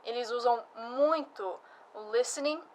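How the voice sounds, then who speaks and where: A woman's voice: a little high, unhurried, slight background noise. A woman, explaining teaching methods in an interview. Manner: explanatory, uses fillers like "ah" and "né". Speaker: academic director. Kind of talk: casual interview answers.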